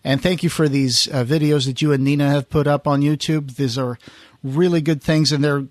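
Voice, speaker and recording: A man's voice: on the high side, steady tone, normal speed, slight background noise. A man hosting a podcast in a casual conversational style. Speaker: podcast host.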